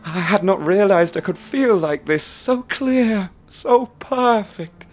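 A man narrating a first-person horror radio play, hushed and intense. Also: high-pitched, lively intonation, slow. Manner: hushed and intense, rising to ecstatic. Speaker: narrator and protagonist.

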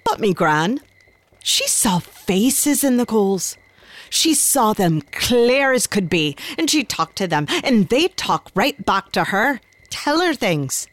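A woman's voice: mid-range voice, very animated, takes it slowly, no echo. An actress playing an Irish countrywoman who tells a tale of her gran in a chatty, confiding, folksy way for a radio drama. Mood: wondering. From Ireland. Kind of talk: conversational storytelling in radio drama.

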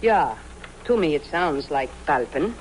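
Halting hospital nurse. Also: female voice, low voice, expressive, darkish tone. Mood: matter-of-fact. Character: simple, matter-of-fact hospital nurse. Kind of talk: 1950s radio drama acting. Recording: slightly noisy vintage radio recording.

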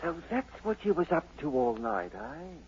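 An actor playing a rough, scornful Cockney trader with a jeering, slangy manner in a 1940s radio drama. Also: male voice, fairly high pitch, very animated, moderate pace. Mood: sceptical. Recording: muffled, hissy old radio recording.

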